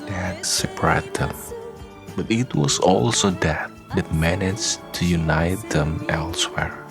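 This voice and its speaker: A man's voice: low voice, takes it slowly, gravelly. A man reading scripted narration for a radio drama. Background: music.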